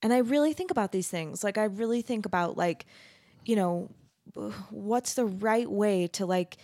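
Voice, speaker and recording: A woman's voice: medium pitch, normal speed, dark tone, no room sound, studio quality. A woman in her thirties with an American accent, chatting informally as the host of a conversational interview, often chiming in with agreement. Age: 30s. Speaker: podcast host and university teacher.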